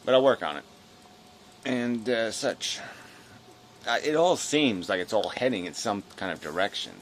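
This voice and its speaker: A man rambling casually in a weary, low-energy, self-deprecating way, halting and swearing as he talks to camera. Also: animated, a little hiss or hum. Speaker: video blogger.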